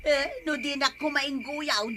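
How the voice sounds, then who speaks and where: A woman's voice: sits high, measured pace, background noise. A woman acting a whining, gambling housewife in a melodramatic Ilocano radio comedy drama. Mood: sulky, complaining. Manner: wailing, exclamatory, melodramatic. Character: whining gambling housewife, loud and easily hurt.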